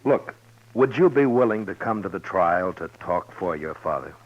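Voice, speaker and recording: An American frontier lawman with a very low, bottom-heavy male voice. He is plain-spoken, gruff and humane, in the manner of a 1950s radio western. The sound is muffled vintage broadcast. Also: expressive, normal speed. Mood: earnest, gentle.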